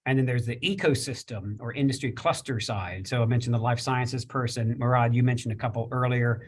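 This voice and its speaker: A man's voice: medium pitch, brisk, slightly rough. A man speaking on a career panel in a loose, rambling way, restarting and repeating words often. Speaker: panelist from a business chamber. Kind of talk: casual panel discussion.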